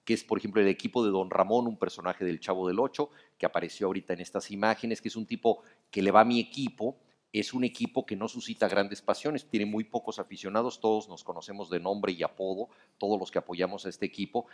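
A man's voice: lowish voice, brisk, darkish tone. A middle-aged Mexican man on a theater stage, telling long, flowing anecdotes in an onstage conversation. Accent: Mexican Spanish. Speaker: festival panelist, writer. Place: dark theater stage, seated in armchairs.

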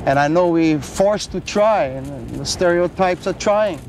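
A man's voice: a little high, a little slow, a little mellow, a little hiss or hum. A middle-aged man from Hawaii, speaking firmly and from the heart in a documentary interview on a rocky shore. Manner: firm, heartfelt and outspoken. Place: outdoors on a rocky shore by the surf.

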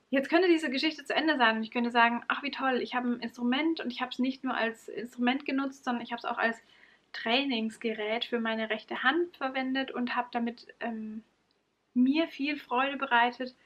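A woman's voice: fairly high pitch, dull audio. A young woman from Franconia with a light Franconian accent, earnestly telling a personal story to camera in a small room. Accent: light Franconian German. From Franconia, Germany. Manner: warm, earnest, stresses words by repeating them. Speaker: vlogger and occupational therapist. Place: small room in front of bookshelves, indoors. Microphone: camera mic, close framing.

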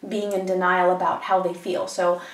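A woman's voice: lowish voice, little pitch movement, moderate pace, far from the mic, a little hiss or hum. A woman with a stuffy cold giving a chatty, meandering tarot reading full of ums, in a room at a table. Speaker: tarot reader. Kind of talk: casual tarot reading to camera. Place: indoors at a table, room with echo. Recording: echoey room, mic set back.

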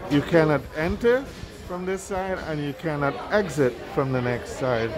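A man narrating a casual walkthrough of a cruise ship buffet on his phone camera, relaxed, with frequent ums and uhs. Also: fairly high pitch, normal speed, music in the background. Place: large indoor ship buffet hall. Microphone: handheld phone camera.

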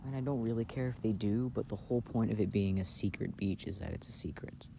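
A man doing a casual, intimate ASMR boyfriend roleplay in a soft-spoken, playful way, recorded outdoors. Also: lowish voice, fast talker, vocal fry. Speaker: audio roleplay performer playing a boyfriend. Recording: outdoor recording with background noise. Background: outdoor ambience and noise.

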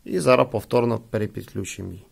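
A man talks through an unboxing in a casual, unscripted way. His voice has a lot of fry in it, and he goes haltingly, thinking aloud. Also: lowish voice. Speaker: product reviewer.

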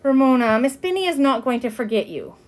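A woman's voice: high voice, animated, a little fast, pitched above the performer's own voice. A woman voicing a weary, exasperated mother in a storybook, firm and long-suffering with her small child.

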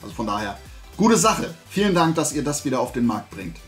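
A man's voice: mid-range voice, big pitch swings, a little fast, fairly bright tone, soft background music. A man in his forties from Germany chatting casually to camera as a vlog host, in a colloquial, self-deprecating way. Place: small indoor room lined with collectible shelves.

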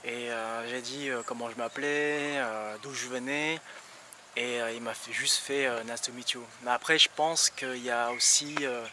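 A young man giving a casual vlog explanation, haltingly and searching for words. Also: mid-range voice, fairly even intonation, sharp tone, recorded from a distance, slight background noise. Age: young adult. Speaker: vlogger.

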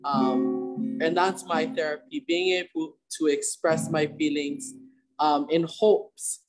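Shy young man, slow and halting. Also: a little high, steady tone, faint music behind, poor audio. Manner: hesitant and slow, many um pauses. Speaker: student sharing a song. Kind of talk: shy spoken introduction. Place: indoors under an open wooden pavilion. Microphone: laptop webcam on a video call.

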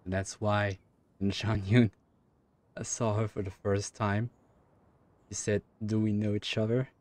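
Low-voiced man doing casual game commentary. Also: flat delivery, unhurried, a little mellow, no room sound. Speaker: gaming streamer. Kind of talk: casual playthrough reaction commentary.

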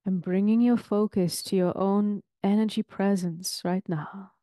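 A woman leading a guided meditation in a soothing, deliberate, ceremonial way, with long pauses between phrases. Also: medium pitch, steady tone, warm tone, dry recording, clean studio sound.

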